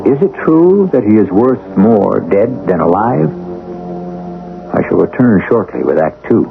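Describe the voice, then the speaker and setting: A man narrating a radio mystery drama as its ominous host, in a deliberate, portentous manner. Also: lowish voice, big pitch swings, vocal fry, loud music behind the voice, exaggerated voice. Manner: deliberate, portentous, rhetorical questions. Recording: tinny old radio broadcast.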